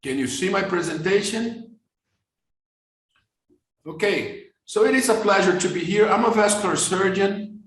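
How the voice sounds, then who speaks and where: A man with a Brazilian Portuguese accent, a vascular surgeon giving a fast webinar lecture in English that is not his first language, often repeating words as he restarts. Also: sits high, fairly bright tone, reverberant.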